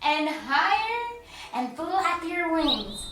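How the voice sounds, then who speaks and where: A woman hosting a children's read-aloud and movement lesson, instructing young children in an animated, singsong way. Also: extremely high pitch, extremely slow, very noisy. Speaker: children's yoga and story time host. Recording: roomy echo, distant mic, music bed. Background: music.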